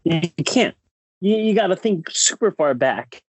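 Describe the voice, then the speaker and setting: A man's voice: high-pitched, expressive, normal speed, breathy edge, dry recording. A man co-hosting a podcast, chatting casually with blunt, opinionated delivery. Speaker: podcast co-host.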